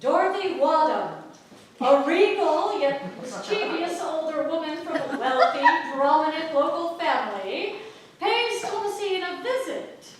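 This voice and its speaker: A woman's voice: very high-pitched, expressive, measured pace. A woman giving theatrical scripted narration as an actor reading a play synopsis. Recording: echoey hall from far off, faint noise.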